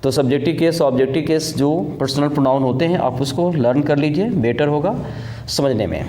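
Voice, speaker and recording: A man's voice: fairly high pitch, fairly quick, dark tone. A man with an Indian accent teaching a grammar lesson in an instructional way, repeating key points and mixing in English terms. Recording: echoey room with background noise.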